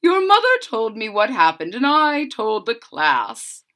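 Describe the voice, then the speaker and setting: Kindly storybook Mister Owl type, read by a woman: teacherly, reassuring, bright tone. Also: on the high side, wildly swooping pitch, measured pace, heavily acted. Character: kindly male owl schoolteacher, calm and reassuring.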